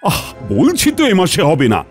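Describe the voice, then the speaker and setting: A peevish, cowardly cartoon king. The voice is fairly high and swings theatrically, full of self-pity, with soft music underneath. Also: huge pitch swings, moderate pace.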